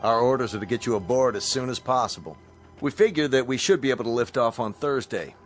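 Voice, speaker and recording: An actor playing a courteous, businesslike colonel in command of a rescue spaceship, measured and official, in a radio drama. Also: male voice, medium pitch, expressive, close to an everyday voice. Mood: brisk, official. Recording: radio drama with faint music behind.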